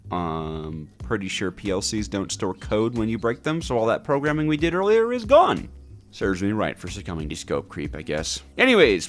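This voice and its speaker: Animated, low-pitched man narrating gameplay. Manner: wry, jokey, expressive. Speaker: gaming video host. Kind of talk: scripted gameplay narration with asides.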